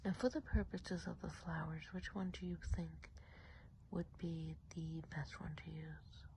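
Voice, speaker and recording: A woman's voice: low voice, steady tone, background noise. Whispering, gossipy ASMR woman. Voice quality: soft breathy whisper. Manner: confiding, unhurried, casual gossip. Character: gossipy friendly witch of the enchanted woods. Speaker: ASMR performer.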